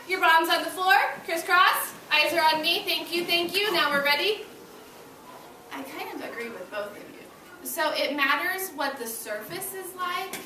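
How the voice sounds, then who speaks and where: A woman teaching young children as an elementary school teacher, instructing them and prompting them to repeat after her. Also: sits high. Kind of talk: interactive classroom read-aloud lesson to young children. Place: indoor school classroom. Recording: noisy echoey classroom, distant microphone. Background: room noise and children.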